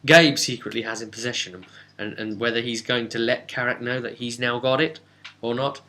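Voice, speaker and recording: Bright, forceful man telling game stories. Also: mid-range voice, fairly even intonation, normal speed, slight background noise. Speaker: game master and channel host. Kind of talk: informal recap of a tabletop roleplay session.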